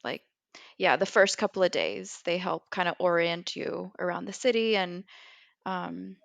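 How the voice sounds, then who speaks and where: A woman's voice: fairly low pitch, measured pace, creaky, no room sound. A woman with an American accent from California, co-hosting a podcast in a casual, conversational way. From California, USA. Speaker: podcast co-host.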